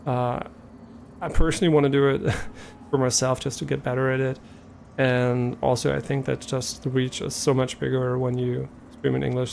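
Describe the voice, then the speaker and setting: Young German-accented man, gravelly, midrange pitch; casual streamer who rambles and restarts, faint noise behind. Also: a little mellow. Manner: rambling, hesitant, many fillers and restarts. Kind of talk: casual live stream chat.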